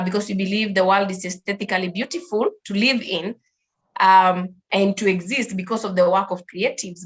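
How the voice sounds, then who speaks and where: A woman's voice: mid-range voice, a bit bright. A woman from Kenya introduces herself as a panelist at an online forum. She speaks from her phone on a video call, sounding eager and upbeat, with frequent ums and uhs. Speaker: panelist, digital activist.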